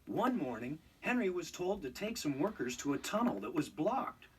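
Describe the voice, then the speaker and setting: A man narrating a children's read-along storybook in an expressive, scripted storytelling style. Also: a little high, normal speed, far from the mic, slight background noise, poor audio.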